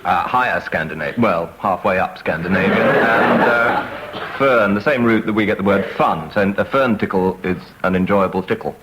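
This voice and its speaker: A man on a comedy panel, improvising answers in a halting, mock-scholarly way. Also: lowish voice. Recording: noisy old live radio recording.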